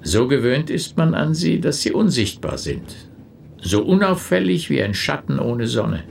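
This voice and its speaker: A man's voice: mid-range voice, big pitch swings, creaky, slight background noise. An actor playing a brooding man who questions and accuses insistently, in a poetic German radio play. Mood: probing. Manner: literary, deliberate, insistent questioning.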